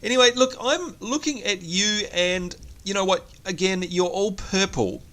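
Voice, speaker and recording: A man's voice: high voice, moderate pace, pushed and bright. A man with a New Zealand accent, sick with a cold, giving chatty, self-mocking commentary on a game he is playing. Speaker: gameplay commentator. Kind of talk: casual let's play commentary.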